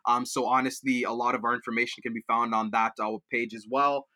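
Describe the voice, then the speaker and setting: A man's voice: medium pitch, little pitch movement, a little fast, bright, forceful tone. A young man from Liberia, raised in Kenya and Canada, answering interview questions in a rambling way, with many fillers and restarts. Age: young adult. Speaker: student guest.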